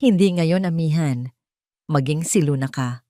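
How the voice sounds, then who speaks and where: A woman's voice: low voice, unhurried, warm tone, no room sound, studio quality. A woman voicing a guarded, wronged heiress in an audio drama. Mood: guarded, emotional. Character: wronged, guarded young heiress returning home in secret.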